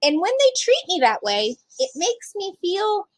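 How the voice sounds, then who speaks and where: A woman's voice: very high voice, very animated, measured pace, no room sound. A woman reading aloud to young children as a storytime reader, speaking to them directly in simple, child-directed phrasing.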